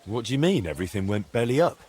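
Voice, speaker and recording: Earnest, husky young blacksmith. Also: male voice, medium pitch, very animated, fast, darkish tone. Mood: curious, earnest. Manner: earnest, plain-spoken. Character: helpful, inquisitive young male blacksmith, earnest and friendly. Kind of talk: dramatic game dialogue.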